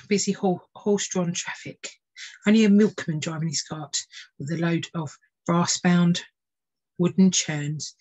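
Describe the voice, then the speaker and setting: A woman's voice: low-pitched, slightly rough, low-quality recording. A woman tutor narrating a children's storybook expressively. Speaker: tutor reading a children's book.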